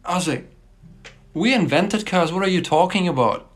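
A man's voice: sits high, lively intonation, moderate pace. A young man tells a dry, incredulous storytime to his phone. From Germany. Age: young adult. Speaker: TikTok storyteller. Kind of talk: storytime to camera. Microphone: phone camera close up.

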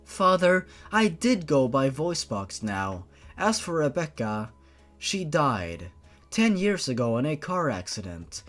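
A man's voice: on the high side, sing-song, slow pace, a bit bright, faint music behind, full character voice. A man voicing a defiant young woman, a blunt and guarded heroine in a story reading.

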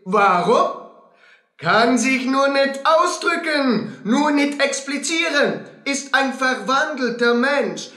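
A man's voice: very high voice, lively intonation, slow pace, sharp tone, roomy sound, exaggerated voice. A man playing a pompous fairground showman in a German radio play, addressing a crowd with bombast. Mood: showy, grandiose. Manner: bombastic barker addressing a crowd, broken grammar. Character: pompous fairground showman presenting a learned horse.

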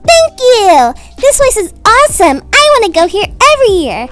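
Woman doing a chirpy, very high little boy. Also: big pitch swings, normal speed, a bit bright, soft background music, heavily acted. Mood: delighted. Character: sweet, eager toddler boy.

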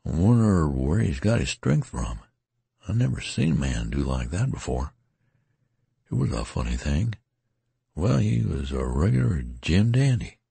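A man reading a weary wounded soldier in an audiobook, with a rustic, rambling drawl and a creaky, husky voice. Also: deep voice, very animated, a little slow, dark tone, no room sound, heavily acted. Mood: awestruck.

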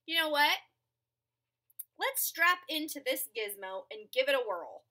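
Very animated, high-pitched young woman storyteller. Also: a little slow. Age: young adult. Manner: expressive, dramatic, playful. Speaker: storyteller reading a picture book aloud. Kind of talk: animated children's read-aloud. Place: small furnished room at home.

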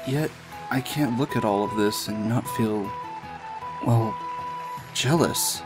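A man's voice: lowish voice, takes it slowly, music in the background. A man voicing a self-pitying, sardonic young male pony in a cartoon comic dub. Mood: brooding, wistful. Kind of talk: comic dub, dramatic monologue and dialogue.

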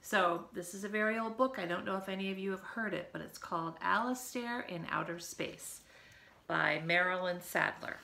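Middle-aged woman with a creaky storybook voice. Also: on the low side, moderate pace, pushed and bright, a little hiss or hum. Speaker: teacher reading a picture book to children. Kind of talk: storybook read-aloud with chatty asides to children. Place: living room at home, by a window.

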